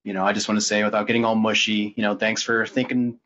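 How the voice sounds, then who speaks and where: A man co-hosting a podcast in casual chat, speaking in a halting, self-effacing way. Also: on the low side, little pitch movement, pushed and bright, slight background noise. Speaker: podcast co-host. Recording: dull lo-fi sound.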